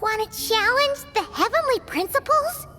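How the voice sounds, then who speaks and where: A woman voicing a tiny, excitable female fairy companion in a video game cutscene, asking wide-eyed, alarmed questions. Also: very high voice, normal speed, heavily acted. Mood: alarmed curious. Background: game score music.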